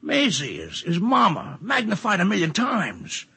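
Very raspy, mocking male cynic, wildly expressive. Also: high voice, heavily acted. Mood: sardonic, condescending. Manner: sardonic, theatrical drawn-out emphasis. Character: cynical, mocking male boss, domineering and condescending. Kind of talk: 1950s radio drama acting. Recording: bright, rough 1950s radio recording.

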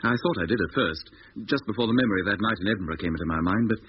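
An actor playing an earnest, reflective astronaut captain who searches for words in a 1950s radio drama. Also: male voice, fairly low pitch, racing, close to an everyday voice. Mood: wondering, earnest. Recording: dull, hissy 1950s radio recording.

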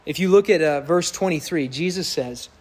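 Loud preacher guy, kinda high voice, earnest and emphatic, really builds up. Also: normal speed, husky. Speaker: pastor. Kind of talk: sermon. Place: church sanctuary with congregation.

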